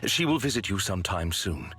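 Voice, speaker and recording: A man's voice: low-pitched, huge pitch swings, brisk, creaky, a bit bright, soft background music, full character voice. A man voicing a formal, composed butler figure in a game cutscene, polite and precise. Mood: measured.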